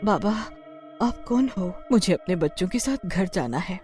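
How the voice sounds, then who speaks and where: A woman's voice: lowish voice, very animated, moderate pace, over music. A woman voicing an anxious, protective mother with a pleading, fretful way of talking. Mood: worried.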